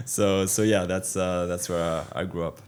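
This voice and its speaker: A young man with a French accent, a guest on an interview show, talking earnestly with many fillers and repeated words. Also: low-pitched. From France. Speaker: guest, athlete and coach. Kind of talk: casual interview talk.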